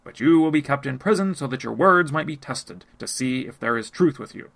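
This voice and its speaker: A commanding male ruler who tests and threatens strangers, as a man reads him aloud. His gravelly voice rises and falls in wide swings. Each order comes out firm and loud. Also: mid-range voice, animated, a little fast. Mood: stern. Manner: louder, commanding delivery. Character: stern powerful male ruler testing strangers.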